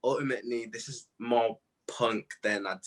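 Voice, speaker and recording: A man in his twenties with a husky voice, a guest artist chatting casually and telling stories in animated slang. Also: mid-range voice, normal speed. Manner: animated slangy storytelling, quoting own reactions. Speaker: interview guest, music artist. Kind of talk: casual chat.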